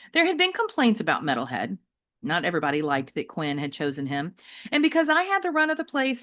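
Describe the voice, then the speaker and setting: A woman reading a children's novel aloud as an expressive, dramatic storyteller who varies her pacing. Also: deep voice. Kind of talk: expressive children's audiobook narration.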